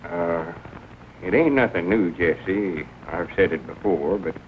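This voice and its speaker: An actor playing an earnest, folksy older storekeeper with a halting rural Southern drawl in a 1940s film comedy. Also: male voice, sits low. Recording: scratchy, narrow-band 1940 film soundtrack.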